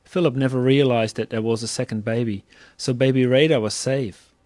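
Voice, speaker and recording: A man's voice: mid-range voice, steady tone, a little fast, a little mellow, no echo. A man narrating a scripted story as a storyteller.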